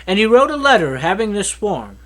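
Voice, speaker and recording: A man reads scripture aloud, his pitch rising and falling in a lively, animated way. The delivery is steady and earnest, with the occasional stumble he corrects on the spot. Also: fairly quick. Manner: steady, earnest reading with small slips and restarts. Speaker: scripture reader. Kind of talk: reading a Bible chapter aloud.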